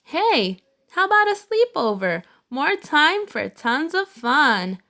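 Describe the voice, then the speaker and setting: A woman's voice: sits high, sing-song, takes it slowly, sharp tone, pitched above the performer's own voice, full character voice. A woman voicing a cool, easygoing male cat from a children's storybook, in short, emphatic phrases. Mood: upbeat, inviting. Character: cool, easygoing male cartoon cat who wants sleep.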